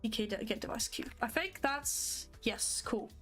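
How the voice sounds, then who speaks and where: Chatty young woman, fairly high voice. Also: expressive, unhurried, fairly bright tone, faint music behind. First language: not English. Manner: rambling, many fillers, thinks aloud. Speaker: livestreamer coding a graphics engine. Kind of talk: casual live-coding chat with viewers.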